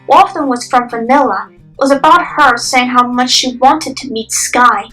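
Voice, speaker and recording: A woman's voice: a little high, moderate pace. A young adult woman reading scripted dramatic narration for a story, recorded echoey and off the microphone. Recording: distant echoey home microphone. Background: soft music.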